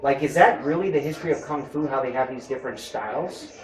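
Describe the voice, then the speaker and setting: A man's voice: mid-range voice, fast, faint music behind. An American man in his thirties hosting an interview in a casual, chatty, informal way. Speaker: interviewer, host. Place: indoors, room with a weapons display wall. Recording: echoey room.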